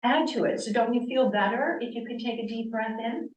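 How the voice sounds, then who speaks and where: A woman's voice: fairly high pitch, fairly quick, reverberant, distant mic, low-quality recording. Earnest woman in her sixties teaching yoga. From Connecticut, US East Coast. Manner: earnest, animated, asks rhetorical questions. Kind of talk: church talk on yoga. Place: church hall.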